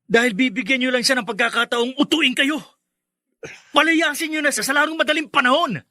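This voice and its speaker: An indignant young son. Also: male voice, very high-pitched, fairly quick, bright, forceful tone, dry recording, clean studio sound. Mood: defiant. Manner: indignant, accusing. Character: angry defiant young man, eldest son. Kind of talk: radio drama acting.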